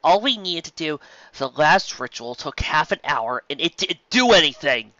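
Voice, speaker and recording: An exasperated unicorn mare, acted by a man, raised high and pushed loud. She exclaims and whines excitedly, swinging widely in pitch, as a storybook character. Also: moderate pace, dry recording, muffled recording.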